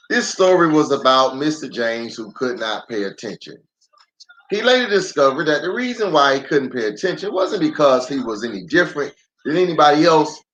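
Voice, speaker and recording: A man reading a children's story aloud in an expressive, dramatic way with deliberate pauses. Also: fairly high pitch, slight background noise. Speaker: storyteller, pastor. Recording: roomy echo, faint music.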